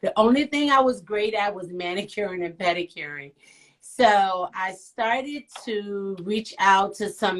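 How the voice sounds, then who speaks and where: Middle-aged woman from Liberia, guest storyteller, playful and teasing, mid-pitched, swings up high when excited. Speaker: guest, nail professional and author. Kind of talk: casual interview.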